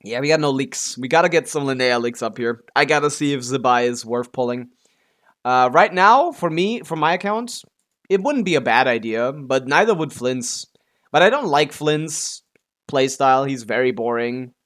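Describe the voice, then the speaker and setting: A man's voice: mid-range voice, lively intonation, normal speed, a bit bright. Casual, profane man livestreaming a game. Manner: profane, complaining. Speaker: live streamer playing a video game. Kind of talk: casual rambling gaming chat with viewers.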